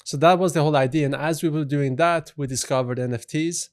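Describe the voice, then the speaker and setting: A man from Sweden, a guest CEO on a podcast, telling his story in an easygoing, soft-spoken way with frequent "you know" fillers. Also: on the high side, fast. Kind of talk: relaxed storytelling in an interview.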